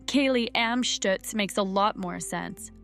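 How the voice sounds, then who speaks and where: A woman's voice: medium pitch, slow pace, vocal fry, a bit bright, soft background music. A woman playing an indignant, gossipy internet conspiracy believer with knowing insinuation.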